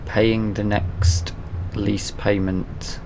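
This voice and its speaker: A man with a fairly low voice and a lot of gravelly fry gives casual gaming commentary. He muses to himself as he goes. A clear vehicle rumble runs behind his voice. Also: flat delivery, very slow. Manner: musing, talking to himself. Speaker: gaming video creator. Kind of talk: casual let's play commentary.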